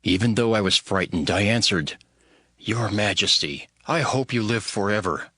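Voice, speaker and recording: A man's voice: on the low side, moderate pace, pushed and bright, breathy edge, dry recording, clean studio sound. A man playing a devout, sorrowful Hebrew cupbearer who earnestly narrates his own story in a dramatized audio Bible. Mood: anxious, respectful. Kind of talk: dramatized first-person scripture narration. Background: background music.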